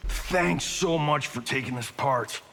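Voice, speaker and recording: An actor playing a cocky, glib Hollywood action star in a fighting game, breezy, showy and wisecracking. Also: male voice, medium pitch, slow, vocal fry, fairly bright tone, big-room sound, full character voice. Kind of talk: video game pre-fight banter.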